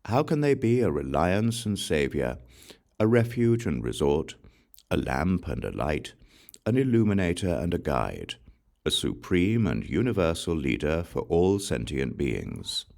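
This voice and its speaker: A man reading scripture as a scripted audiobook, in a measured, solemn recitation with deliberate pauses between phrases. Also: deep voice, sing-song, vocal fry, darkish tone. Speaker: audiobook reader.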